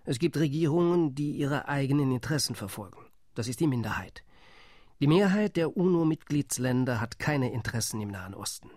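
A man's voice: mid-range voice, animated, brisk, a little mellow, dry recording, studio quality, lightly acted. An actor playing an indignant male delegate, arguing firmly in a radio play monologue.

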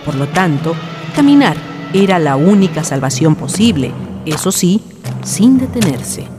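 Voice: medium pitch, big pitch swings, measured pace. A narrator reading a horror story in a formal, ornate literary style. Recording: music behind the voice.

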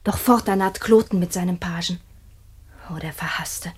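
An actress playing a passionate, devoted and defiant young princess in a classic radio play, declaiming with intensity. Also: female voice, fairly low pitch, normal speed. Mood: anxious longing. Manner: declamatory, intense, dropping into breathy whispers.

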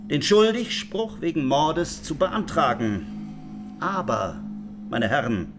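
Music plays behind a stern male prosecutor with a high, widely swinging voice. He pleads formally and emphatically, pausing deliberately like a practised orator in a radio courtroom drama. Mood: measured, grave. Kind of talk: courtroom closing speech in a radio play.

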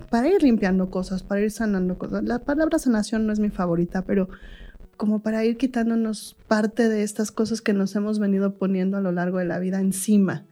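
A woman's voice: mid-range voice, mellow. A middle-aged woman with a Mexican accent, talking casually and reflectively in an interview. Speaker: psychologist on a talk show.

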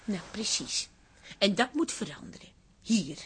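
A woman's voice: mid-range voice, animated, unhurried, slight background noise, close to an everyday voice. A woman playing an amorous, playful but dissatisfied wife in a Dutch radio play, speaking intimately and coaxingly. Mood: wistful, insistent. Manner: intimate, coaxing, sometimes breathy whispering.

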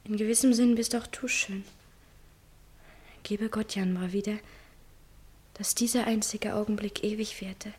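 A capricious young woman in love, in 1960s radio-play style. Her voice sits at an average female pitch. She is dreamy and teasing, with theatrical phrasing that often softens into a breathy murmur. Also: steady tone, measured pace, long pauses. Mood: coquettish, wistful. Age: young adult.

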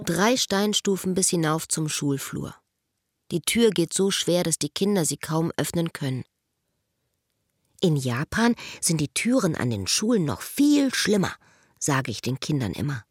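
A woman acting as a reflective schoolteacher, telling her own story in measured, literary radio drama monologue. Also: low voice, very animated, husky, dry recording, studio quality, full character voice. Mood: wry.

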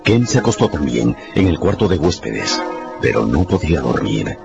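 A very deep male narrator tells a story for radio with a dramatic, lively delivery. The sound is a little dull, with clear music underneath. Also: moderate pace.

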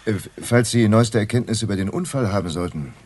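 A man with a husky voice playing a flustered, evasive small-town newspaperman in a radio crime drama, halting and stammering. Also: on the low side, a little mellow, a little hiss or hum, natural voice.

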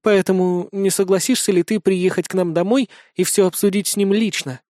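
A man's voice: high-pitched, steady tone, a little fast, warm tone, no room sound, clean studio sound, voice pushed up, natural voice. A man voicing an imperious young noblewoman in an audiobook, formal and persuasive in manner.

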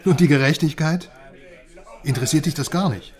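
An actor playing a blunt, probing drinking buddy with an idealistic streak, talking colloquially in a radio crime drama. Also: male voice, fairly high pitch, fairly quick, darkish tone, close to an everyday voice. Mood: earnest, urging. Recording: busy pub chatter behind.

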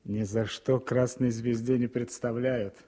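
An actor playing a friendly, coaxing war journalist visiting a comrade in a Soviet radio drama. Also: male voice, medium pitch, steady tone, measured pace, dark tone, close to an everyday voice. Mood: warm teasing.

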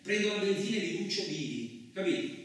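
An actor playing a coarse, suspicious, lonely truck driver in a radio theatre monologue, rambling colloquially with frequent questioning interjections. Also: male voice, high-pitched, expressive, fairly quick, fairly bright tone. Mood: threatening. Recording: very echoey, distant, noisy room recording.

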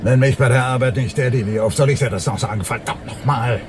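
A man's voice: medium pitch, fast talker, background noise, close to an everyday voice. An actor playing an irritable, bullying middle-aged policeman who scolds his son in a radio drama. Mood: angry, scolding. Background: running car.

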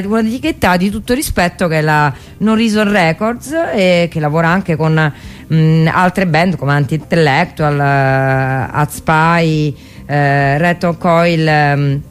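Low-pitched woman presenting on radio, halting delivery. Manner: halting, hesitant delivery with frequent fillers. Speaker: radio show host. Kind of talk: unscripted radio music presentation.

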